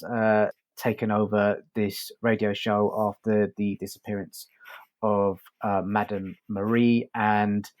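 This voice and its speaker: A man's voice: lowish voice, darkish tone. A man hosting a podcast, talking through a review in a casual, hesitant, circling way.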